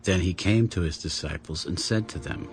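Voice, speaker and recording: Male scripture narrator, low-pitched, scripted dramatic reading, prominent music bed. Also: normal speed.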